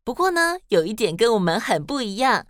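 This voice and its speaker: A woman's voice: a little high, very animated, brisk, no echo, studio quality. A woman with a Taiwanese accent hosting and narrating stories expressively for children. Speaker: host and storyteller of a children's podcast.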